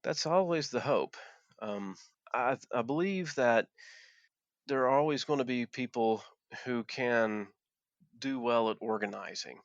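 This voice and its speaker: A man from Appalachia speaking as a guest in an interview, earnest and halting, often repeating words as he restarts a thought. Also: medium pitch, no echo, muffled recording. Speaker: guest, former coal miner. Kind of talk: interview conversation.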